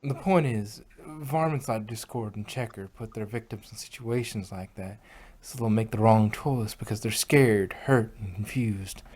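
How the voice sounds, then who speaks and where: A man's voice: lowish voice, a little slow, hardly any pauses, a little mellow. Man voicing a folksy, earnest farm pony mare. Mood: grave, confessional. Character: earnest, plain-spoken female farm pony, honest and comforting. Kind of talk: fan fiction dramatic reading.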